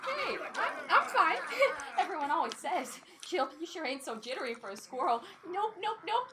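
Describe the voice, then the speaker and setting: A jittery little female squirrel in a comic audio story. The voice is extremely high, squeaky and sharp, leaping about in frantic exclamations. Clear noise sits behind it. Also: animated, takes it slowly, exaggerated voice. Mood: jittery relief. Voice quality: squeaky, piercing. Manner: frantic exclamations and colorful mild oaths.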